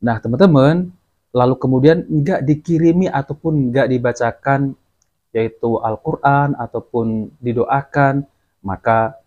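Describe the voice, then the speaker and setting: A man's voice: medium pitch, dark tone, dull audio. A man hosting a religious commentary, addressing his viewers directly and explaining in a didactic way. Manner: didactic, repeats and explains clips. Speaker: channel host and commentator.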